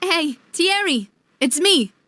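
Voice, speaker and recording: Casting: confident young female investigator in a game, high voice, polite, playful, resolute. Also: lively intonation, slow, background noise, exaggerated voice. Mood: playful, teasing. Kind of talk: video game dialogue acting.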